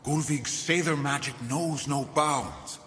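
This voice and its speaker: A man's voice: a little high, slow pace, fairly bright tone. An actor playing a mournful male spirit who pleads solemnly and formally for a lost beloved in a fantasy video game. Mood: mournful, pleading. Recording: long cavernous reverb, faint noise.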